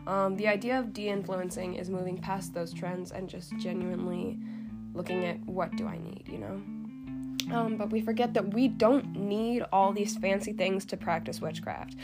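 A woman's voice: medium pitch, steady tone, moderate pace. A woman hosting a solo podcast, talking in a casual, chatty, informal way with frequent filler words. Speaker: podcast host. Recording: loud guitar music behind the voice. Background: plucked guitar music.

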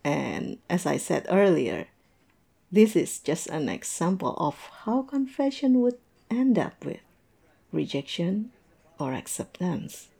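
Woman playing a slow-talking, tipsy lovestruck woman. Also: fairly low pitch, big pitch swings, vocal fry, dark tone, breathy edge, full character voice. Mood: rueful, tipsy. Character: tipsy lovestruck young woman confessing to her professor, self-mocking. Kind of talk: roleplay audio drama.

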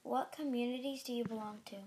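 Young woman, high-ish voice, friendly questions, upbeat. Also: steady tone, fast talker. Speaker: student interviewer. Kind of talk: family interview.

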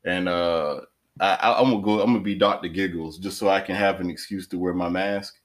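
A man's voice: low voice, steady tone, brisk. A man hosting a podcast, joking around and laughing often in loose, rambling chat. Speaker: podcast host.

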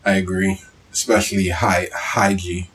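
A man's voice: low-pitched, level tone, a little slow, fairly bright tone, poor audio. A man chatting casually as a podcast co-host, talking in a reactive way with short interjections. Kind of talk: casual anime review chat.

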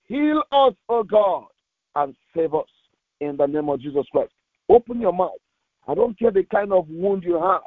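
Man, pastor, strikingly high pitch, fervent Pentecostal prayer, insistent repetition, phrase-by-phrase delivery, tinny narrow-band radio sound. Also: big pitch swings, normal speed, no room sound. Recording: thin, phone-like radio sound.

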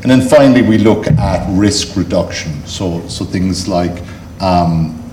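A man's voice: sits low, unhurried. A man speaking on a conference panel in a casual, chatty way with many restarts. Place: conference hall. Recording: echoey conference hall with faint noise.

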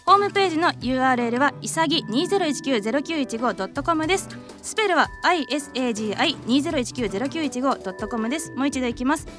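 A woman's voice: high-pitched, expressive, very fast, over music. A woman hosting a web radio show, chatting casually and teasing her co-host. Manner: chatty, teasing, laughs easily. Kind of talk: casual chat.

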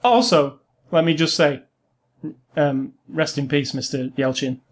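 A man's voice: a little high, a little slow. A man co-hosting a casual film review chat, giving blunt, informal opinions.

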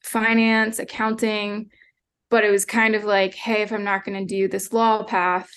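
Gravelly-voiced young woman laughing mid-sentence. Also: mid-range voice, level tone, a little slow, a bit bright. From Pennsylvania, United States. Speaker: guest, social media manager. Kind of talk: casual interview chat. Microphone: video call.

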